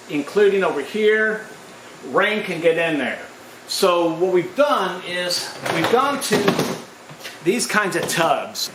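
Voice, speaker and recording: A man who runs live sound, giving a plain, practical walkthrough in a casual vlog, pausing often with "uh". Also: fairly high pitch, reverberant, noisy background. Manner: plain, practical, frequent "uh" pauses. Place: outdoors at a brewery gig.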